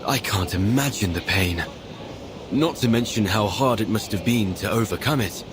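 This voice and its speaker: Low-voiced, earnest male companion played by a man. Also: moderate pace, background noise, close to an everyday voice. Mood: sympathetic. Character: earnest, sympathetic companion questioning an assassin. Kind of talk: dramatic visual novel dialogue. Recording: game audio with music behind.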